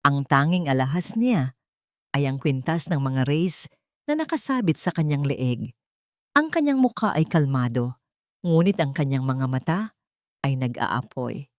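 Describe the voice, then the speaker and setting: Lively, dramatic woman storyteller. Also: a bass voice, measured pace, long pauses, no echo, studio quality. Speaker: story narrator. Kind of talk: scripted dramatic narration.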